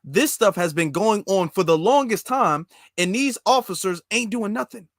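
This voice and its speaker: A man's voice: high-pitched, lively intonation, normal speed, no echo, clean studio sound. An American man giving impassioned political commentary as a host, emphatic and repetitive, with rhetorical questions.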